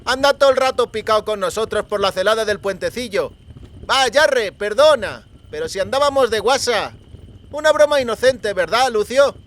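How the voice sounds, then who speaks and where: A man puts on a chatty rustic gang member for an audiobook. The voice is high. He talks in folksy, clumsy grammar, joking and conciliatory. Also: animated, normal speed, fairly bright tone. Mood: placating.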